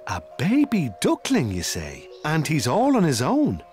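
A woman voicing a calm, sensible farm helper in a children's cartoon, gentle and reassuring. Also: low-pitched, unhurried, faint music behind, full character voice.

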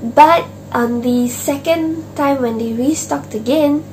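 A young woman, chatting casually to camera in a rambling way with lots of fillers. Her voice is going a little hoarse and she keeps clearing her throat. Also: high-pitched, slow pace, a little mellow, slight background noise. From Malaysia. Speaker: stationery vlogger. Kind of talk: casual unboxing chat to camera. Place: small home office with desk and shelves, indoors.

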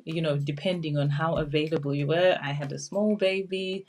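A woman's voice: low voice, steady tone, normal speed, darkish tone. A woman in her forties with a Zimbabwean accent, giving a candid, reflective personal account to camera at home. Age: 40s. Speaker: interview guest, social worker. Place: small curtained room at home, indoors.

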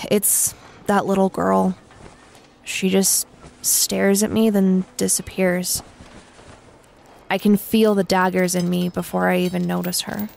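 A woman playing a weary, guarded former dark army officer in a fantasy audio drama, speaking haltingly with sighs. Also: mid-range voice, little pitch movement, creaky. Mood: troubled, defensive. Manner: halting, sighing.

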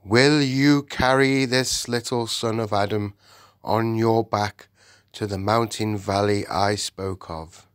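A man reading aloud and voicing a majestic male lion king with a slow, grand, solemn delivery. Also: lowish voice, fairly even intonation, no echo, clean studio sound. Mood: warm.

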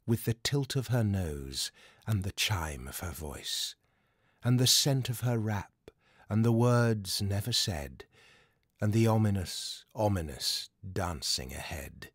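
A man with a British accent reciting a poem as a reader, with measured, expressive phrasing. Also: fairly low pitch, breathy edge, no echo, clean studio sound. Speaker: poetry reader. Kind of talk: poetry recitation.

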